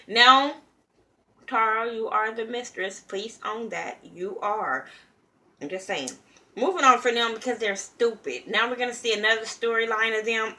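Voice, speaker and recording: Young woman, from California, bright sharp voice, blunt, profane, casual vlog rant. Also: fairly high pitch. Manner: blunt, profane, heated ranting. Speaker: reality TV reviewer. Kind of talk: casual opinion commentary.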